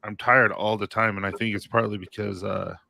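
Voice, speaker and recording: Male business owner, bright forceful tone, tired and rambling. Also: lowish voice, flat delivery, brisk, gravelly. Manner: tired, laid-back, rambling. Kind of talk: casual livestream chat.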